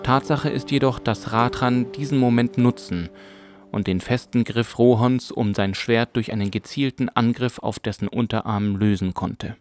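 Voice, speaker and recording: A man narrating a fantasy audiobook in a calm, measured storytelling manner. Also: medium pitch. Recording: music bed under narration.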